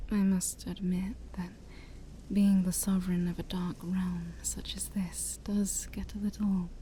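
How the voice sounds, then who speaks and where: Woman as a whispering, mellow demon princess. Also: mid-range voice, a little hiss or hum, natural voice. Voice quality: soft, breathy, mostly whispered. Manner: slow, coaxing, formal with playful asides. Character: shy, scheming female demon princess ruling a dark forest. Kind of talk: ASMR roleplay addressed to the listener.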